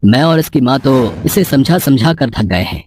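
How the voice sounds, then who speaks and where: A man's voice: mid-range voice, animated, moderate pace, darkish tone, slight background noise, natural voice. A man voicing a middle-aged father and uncle in a moral story, earnest, worried and admonishing. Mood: consoling.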